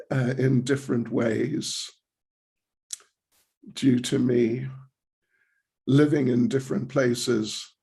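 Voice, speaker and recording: A man in his seventies from New Zealand, giving a relaxed spiritual class as a visiting swami, rambling slowly with self-deprecating asides. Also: mid-range voice, gravelly, breathy edge. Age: 70s. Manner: slow, rambling, self-deprecating with light laughs.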